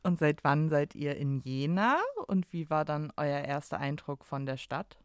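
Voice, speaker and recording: A woman's voice: deep voice, fairly even intonation, a little slow, clean tone, dry recording. A young adult woman hosting a university podcast, asking questions in a friendly, encouraging way. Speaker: podcast host, university staff. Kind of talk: podcast interview.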